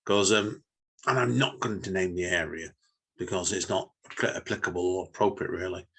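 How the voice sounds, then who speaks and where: Male podcast co-host, Manchester accent, lowish husky voice, informal rambling chat with frequent tag questions. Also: fairly quick, fairly bright tone. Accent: Northern English, Manchester area.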